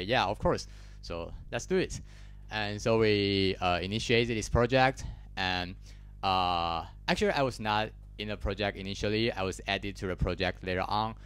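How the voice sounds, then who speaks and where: Taiwanese student in his twenties, low and smooth voice, halting conference talk with lots of fillers, handheld mic in a lecture hall. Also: a bit bright. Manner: hesitant, many fillers and restarts. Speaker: conference speaker. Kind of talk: technical talk.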